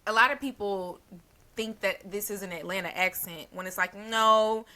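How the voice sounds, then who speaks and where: A woman's voice: medium pitch, moderate pace, bright, forceful tone. A woman from Texas with a Southern accent, chatting casually on a podcast and laughing often. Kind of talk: casual chat.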